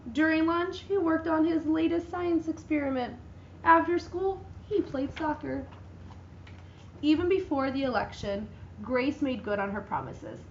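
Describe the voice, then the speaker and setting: Expressive woman reading a children's story. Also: sits high, echoey room, muffled recording, slight background noise. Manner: expressive, lively character voices. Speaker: reader. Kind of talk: dramatic reading of a children's book.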